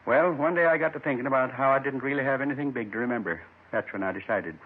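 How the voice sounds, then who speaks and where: A muffled 1950s radio drama recording carries a male actor as a lonely old man in his seventies. His voice is husky, his manner gentle and folksy, and he rambles wistfully in short, plain phrases as he confesses. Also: mid-range voice, fast talker, lightly acted. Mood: matter-of-fact. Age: 70s.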